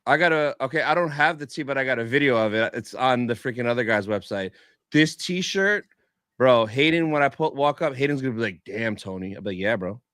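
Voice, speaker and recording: A man's voice: mid-range voice, animated, brisk, a bit bright, clean tone, no echo. Slangy man in dry, close sound. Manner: slangy, blunt, swears casually. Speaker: livestream co-host. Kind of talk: casual chat on a livestream.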